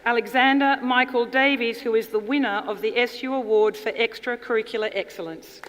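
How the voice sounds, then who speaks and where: A woman formally announcing graduates' names at a ceremony, in a measured, deliberate delivery. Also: a little high. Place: large indoor ceremony hall. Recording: reverberant hall, audience noise, clear background noise. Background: audience crowd noise.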